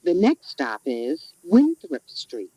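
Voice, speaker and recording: Slow, lilting woman train announcer. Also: low voice, huge pitch swings, mellow, no room sound, low-quality recording. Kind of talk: recorded transit announcement, scripted. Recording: recorded train announcement with clear noise.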